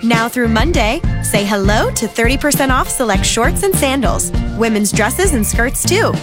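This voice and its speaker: A woman's voice: a little high, lively intonation, normal speed, loud music behind the voice. Chirpy woman reading a retail ad. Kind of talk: upbeat retail ad read.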